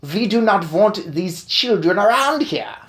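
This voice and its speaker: A woman's voice: medium pitch, big pitch swings, pitched above the performer's own voice. A woman shrieking as a furious witch queen. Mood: shrieking rage. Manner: shrieking, drawn-out yelling.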